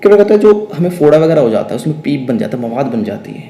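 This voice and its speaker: A man with an Indian accent teaching everyday English sentences to learners in Hindi. He repeats each model sentence slowly and keeps checking that they follow. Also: a little high, sing-song, mellow, echoey room. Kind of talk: spoken English lesson in Hindi.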